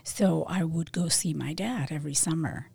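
Soft, husky, dark-voiced woman with a Philly accent. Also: sits low, no echo. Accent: Philadelphia. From Puerto Rico, raised in Philadelphia. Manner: soft-spoken, breathy, measured. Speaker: realtor guest. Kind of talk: interview conversation. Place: realty office studio.

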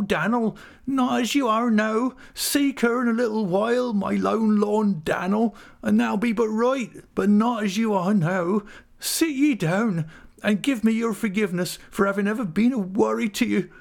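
A tearful widow pleads in a high, quavering voice, as a man reads her part. There is a gravelly edge under the lifted tone. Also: measured pace. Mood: pleading. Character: grieving older woman, a tearful widow.

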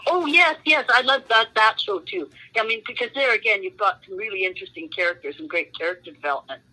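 A woman being interviewed, her voice bright and clear at a middling pitch. She wanders through her answers, trailing off into fillers before she finds her point. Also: quick pace, rough recording. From Canada. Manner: rambling, full of "um" and "you know" fillers. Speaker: author guest. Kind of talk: casual interview chat.

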